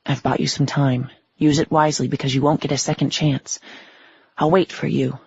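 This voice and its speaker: A woman plays a young male fallen angel, protective and devoted, who speaks earnestly and tenderly. The voice is husky with some fry, on a dry, slightly dull recording. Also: a bass voice, level tone, normal speed, darkish tone, dry recording, full character voice.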